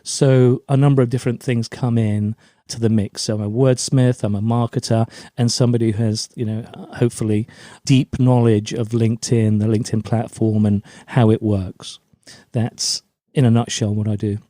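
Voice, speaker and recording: A man's voice: medium pitch, flat delivery, moderate pace, mellow, dry recording, clean studio sound. A middle-aged man from Germany, raised in a British forces family, giving chatty, anecdotal answers as an interview guest. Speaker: podcast guest, LinkedIn brand coach.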